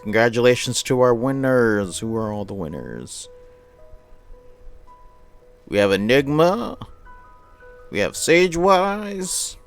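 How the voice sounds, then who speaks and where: Animated man hosting a game stream. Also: medium pitch, takes it slowly, long pauses, soft background music. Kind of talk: live stream chat mixed with reading visual novel narration aloud.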